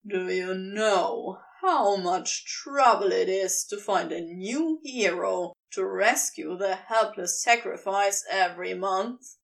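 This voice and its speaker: A woman voicing a wry, pleading male lover in fan fiction, with a flat, drawn-out delivery. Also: mid-range voice, creaky, far from the mic, pitched below the performer's own voice, low-quality recording. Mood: hurt, exasperated. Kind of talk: fan fiction audiobook character voice.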